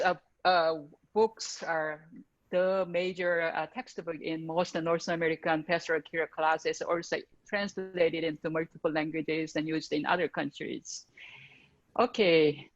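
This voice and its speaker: A woman's voice: lowish voice, measured pace, no echo. An older woman, a dean moderating a faculty panel on a video call, introducing speakers in brief, hesitant remarks. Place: home living room with pictures. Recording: dull, dry webcam audio.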